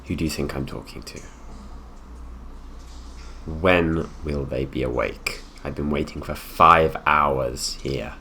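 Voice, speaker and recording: A man voicing a haughty, possessive prince in an improvised ASMR roleplay, speaking to the listener with condescension and deliberate pauses. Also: very deep voice, steady tone, sharp tone, a little hiss or hum, pitched below the performer's own voice, heavily acted. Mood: cold, threatening. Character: haughty possessive young prince, heir to the throne, coolly menacing.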